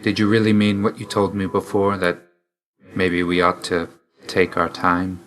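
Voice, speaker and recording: An actor playing a brooding young god of death in a video game, speaking in a reserved, dry, measured and formal way. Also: male voice, deep voice, completely flat delivery, a bit bright. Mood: guarded, tender. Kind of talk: video game character dialogue.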